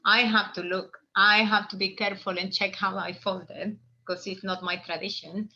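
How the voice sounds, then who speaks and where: A woman's voice: mid-range voice, little pitch movement, moderate pace, bright, forceful tone, highs cut off. A woman from El Salvador hosting a live online origami lesson, explaining in a friendly, meandering way with restarts. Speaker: workshop host.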